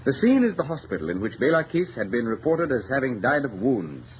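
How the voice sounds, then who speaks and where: Male narrator, formal, declamatory, medium pitch, vintage radio mystery, dull hissy recording. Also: big pitch swings, fairly quick. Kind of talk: scripted 1930s-40s radio mystery narration.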